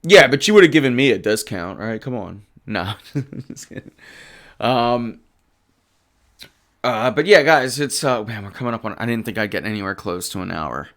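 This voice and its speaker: A man hosting a live sports talk stream, casually answering chat and arguing football. His voice is hoarse, and he gets emphatic when he runs through stats. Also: lowish voice, moderate pace. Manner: animated and emphatic, rattling off stats. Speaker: livestream sports show host.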